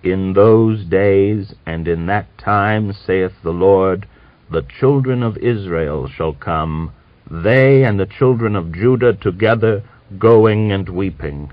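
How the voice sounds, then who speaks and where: A man voicing a pious, bitter old man of nearly a hundred, who scolds and preaches and reads scripture slowly and solemnly. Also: sits low, dry recording. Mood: solemn reading. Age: older. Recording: dull dry recording.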